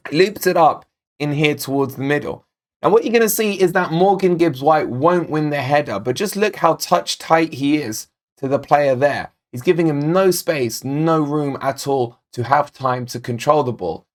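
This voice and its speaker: A man hosting a football fan channel, talking casually and directly to viewers in a match analysis, his voice still a little croaky. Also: on the high side, moderate pace. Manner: chatty, direct, addresses viewers as guys. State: slightly croaky voice. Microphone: desk microphone.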